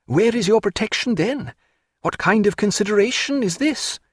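A man voicing a cool, defiant gentleman in an audiobook, with challenging, cutting, formal period diction. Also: high voice, lively intonation, moderate pace, husky, no room sound, clean studio sound, pitched above the performer's own voice. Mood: cold defiance. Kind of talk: dramatised audiobook character voice.

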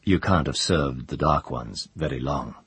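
A calm captive wizard, deep-voiced and grave, answers quietly and gently in a storybook reading, voiced by a man. His voice is husky and breathy. The recording is dry and close. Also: measured pace, no room sound, heavily acted. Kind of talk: audiobook character reading.

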